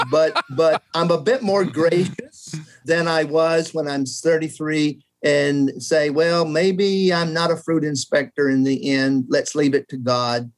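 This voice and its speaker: A man in his seventies, talking through a theology question in an earnest, reflective way, sometimes poking fun at his younger self. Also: fairly high pitch. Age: 70s. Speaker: guest scholar. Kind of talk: conversational theology discussion.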